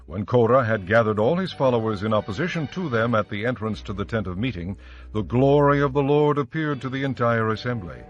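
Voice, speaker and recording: A man narrating a dramatized Bible audiobook in a measured, solemn storytelling style. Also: mid-range voice, dull audio. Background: faint music.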